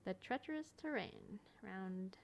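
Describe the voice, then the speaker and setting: A woman gaming creator giving casual playthrough commentary and reading on-screen text aloud, with a creaky voice. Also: medium pitch, expressive, a little slow, dull audio, slight background noise.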